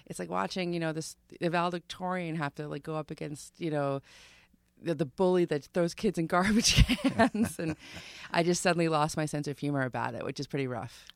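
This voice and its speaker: A woman's voice: low-pitched, fairly quick, gravelly, smooth, dry recording. A woman from Long Island, a podcast guest, chatting casually and self-deprecatingly with easy laughs. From Long Island, New York. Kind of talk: casual interview chat.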